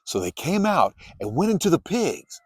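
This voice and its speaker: A man reading scripture as a dramatized, expressive storytelling narration. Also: on the high side, moderate pace, breathy edge, no room sound, clean studio sound. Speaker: narrator, Bible reader.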